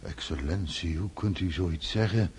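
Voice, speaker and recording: An actor playing a cautious, long-serving estate steward in a radio drama, formal and obsequious. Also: male voice, sits low, normal speed, a little mellow, breathy edge. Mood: deferential, worried.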